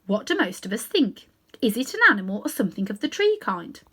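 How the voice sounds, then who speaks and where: A woman's voice: mid-range voice, big pitch swings, brisk, bright, forceful tone, slightly rough, heavily acted. A woman doing a prim, genteel she-elephant. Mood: prim thoughtful. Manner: prim, mild, reasoning.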